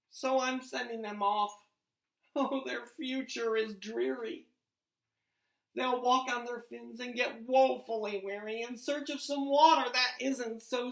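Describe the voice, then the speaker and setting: A woman's voice: fairly high pitch, voice pushed up. A woman voicing a small, grumpy male forest creature who scolds in rhyme. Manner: indignant, scolding, rhythmic rhyming delivery. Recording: roomy echo.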